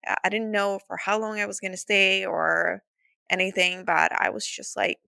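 A woman's voice: mid-range voice, fairly even intonation, normal speed, vocal fry, pushed and bright, dry recording, studio quality. A woman in her thirties from Sweden with a Swedish accent, hosting her podcast in a chatty, upbeat way with frequent laughs and restarts. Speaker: podcast host. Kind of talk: casual solo podcast storytelling.

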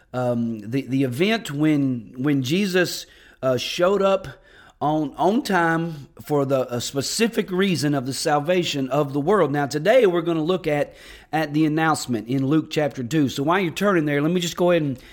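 A man preaching as pastor on a podcast, hoarse and raspy from losing his voice, talking in a folksy, enthusiastic way with frequent restarts. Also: on the high side. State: hoarse, lost voice. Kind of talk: podcast sermon.

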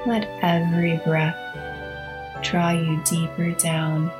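A woman hosting a guided meditation, speaking in a soothing, measured way with long pauses between short phrases. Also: fairly low pitch, level tone. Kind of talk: slow guided meditation narration. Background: instrumental music.

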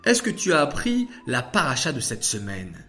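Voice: mid-range voice, wildly swooping pitch, normal speed, fairly bright tone, soft background music. A storyteller voicing a kind, patient father who speaks affectionately and instructively to his child. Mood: gently instructive.

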